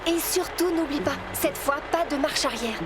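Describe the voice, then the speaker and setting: A patient cartoon effects technician, played by a woman, explaining each step clearly in a high, light voice. Cartoon music plays behind her. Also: moderate pace, natural voice. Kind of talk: French cartoon dubbing.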